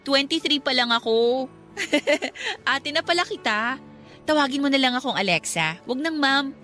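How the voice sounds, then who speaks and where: Actress for friendly young female customer, twenties, Filipino accent, fairly high voice, playful radio-drama dialogue. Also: animated, moderate pace, pushed and bright, faint music behind.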